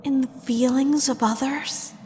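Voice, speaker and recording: A woman's voice: high voice, steady tone, a little slow, noisy background. A woman acting a betrayed, indignant young princess in a dramatic comic dub, with an accusing, emphatic delivery. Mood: furious, hurt. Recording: long hall reverb under music.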